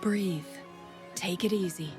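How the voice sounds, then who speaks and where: An actress playing a composed, courteous female warrior in a video game, measured and polite. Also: on the low side, over music. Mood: calm, reassuring. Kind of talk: scripted game dialogue.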